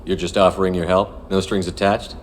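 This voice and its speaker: An actor playing a stoic, gruff military commander in video game dialogue, terse and businesslike. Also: male voice, low voice, flat delivery, fairly quick, slight background noise, close to an everyday voice. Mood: terse, steady.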